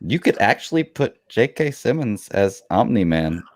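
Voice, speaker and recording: A man's voice: on the low side, sing-song, moderate pace. A man co-hosting a casual podcast, making brief, laid-back remarks. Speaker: podcast co-host.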